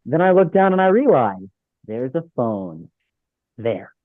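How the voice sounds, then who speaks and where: A man playing a droll, deadpan young office worker in a sitcom script read. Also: mid-range voice, a little slow, no room sound. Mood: dry storytelling. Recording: thin, phone-like, dry sound.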